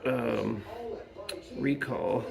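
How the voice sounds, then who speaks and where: A man's voice: mid-range voice, drawn-out words, gravelly, crowd noise. A man chatting casually to his son while repairing a kids' electric quad in his home garage, joking and talking to himself as he works. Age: adult father. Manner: chatty, jokey, talks to himself while working.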